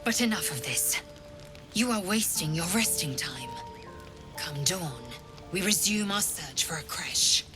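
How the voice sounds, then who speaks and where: A woman's voice: on the low side, animated, measured pace, music in the background. An actress voicing a proud, harsh female githyanki warrior in a video game, curt and commanding. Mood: scornful. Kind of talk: video game cutscene dialogue.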